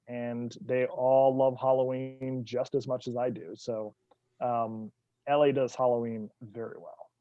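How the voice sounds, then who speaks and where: A man's voice: medium pitch, dry recording, muffled recording. A man from New England, a guest author, telling wry stories in casual conversation. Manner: relaxed, wry storyteller.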